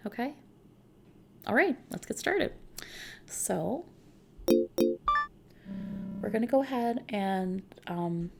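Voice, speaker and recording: A woman playing a friendly, reassuring technician at a futuristic customization service in a soft-spoken, casual ASMR roleplay. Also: fairly low pitch, slight background noise. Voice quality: soft, creaky. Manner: gentle, reassuring, casual, unhurried.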